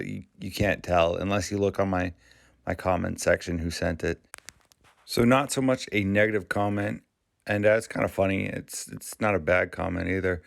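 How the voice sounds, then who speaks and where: A man from Maine hosting his channel, talking casually in a halting, rambling way with frequent restarts. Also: sits low, vocal fry, clear, resonant tone, no echo, studio quality. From Maine, USA. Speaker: YouTube channel host. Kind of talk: casual vlog commentary.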